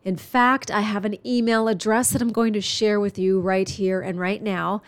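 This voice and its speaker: A woman's voice: medium pitch, steady tone, moderate pace, clean tone, no echo. A woman hosting her own podcast, talking earnestly and chattily to listeners while noticeably congested. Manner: earnest, chatty, warm-hearted encouragement. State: congested, stuffy nose. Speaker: podcast host. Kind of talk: solo podcast monologue.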